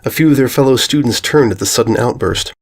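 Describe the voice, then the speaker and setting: A man's voice: medium pitch, fast. A man narrating a scripted story as its reader.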